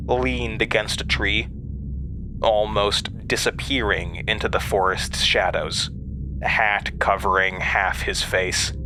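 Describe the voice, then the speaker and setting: An actor playing a haunted, anxious man who narrates his past hesitantly in a horror audio drama. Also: lowish voice. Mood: brooding, watchful.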